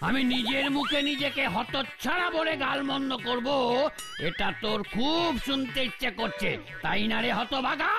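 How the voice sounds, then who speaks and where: A man voicing a bossy, easily exasperated older gentleman coach in an animated cartoon. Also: extremely high pitch, moderate pace, music in the background. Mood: exasperated scolding. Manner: bossy, scolding, repeats words when flustered.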